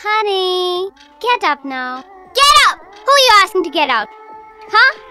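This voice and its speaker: A woman's voice: very high voice, big pitch swings, a little slow, music in the background, exaggerated voice. A woman voicing a bossy cartoon rabbit wife, scolding and sarcastic. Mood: exasperated, scolding. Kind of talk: animated cartoon character acting.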